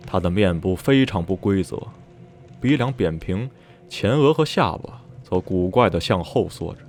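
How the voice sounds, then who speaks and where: A man playing an uneasy survivor of a sinister town, recounting dreadful memories in measured, literary Mandarin for a radio drama. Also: low voice, lively intonation. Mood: grave, disgusted. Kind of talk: radio drama acting, narrating in interview. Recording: music behind the voice.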